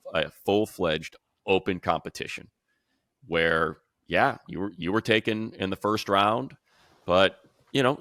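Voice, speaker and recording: A man's voice: on the low side, pushed and bright, dry recording, studio quality. A man hosting a sports talk discussion, speaking emphatically and repeating phrases for stress. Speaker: sports show host and commentator.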